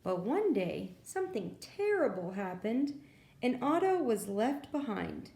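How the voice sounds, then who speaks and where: A woman with a soft, mellow tone reads a picture book to preschoolers in a roomy, echoing space. Her pace is very unhurried. She narrates expressively and turns to the young listeners with small asides. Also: fairly high pitch, big pitch swings, creaky. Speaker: children's librarian reading stories.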